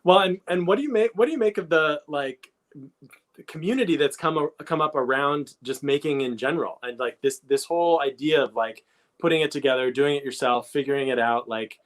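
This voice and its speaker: A man hosting a casual interview, feeling his way through questions and rephrasing them as he goes. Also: on the high side. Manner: halting, repeats and rephrases questions thoughtfully. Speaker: interviewer, host.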